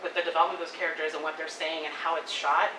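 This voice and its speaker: Fast-talking woman in an echoey room. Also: deep voice. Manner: quick, animated, thinking aloud with many "like"s. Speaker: audience member giving feedback. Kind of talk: live feedback discussion. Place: event room. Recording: echoey room, distant mic, faint noise.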